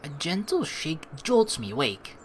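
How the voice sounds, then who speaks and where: A young man hosting a game playthrough, reading narration aloud and adding playful, informal commentary in an expressive way. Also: on the high side, measured pace, a little hiss or hum. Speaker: let's play host. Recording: voice over game music.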